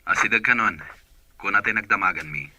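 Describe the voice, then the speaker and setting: Earnest Ilocano male boss, deep-leaning husky voice, courteous suitor in radio drama. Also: fairly quick, bright, forceful tone, dry recording, lo-fi sound.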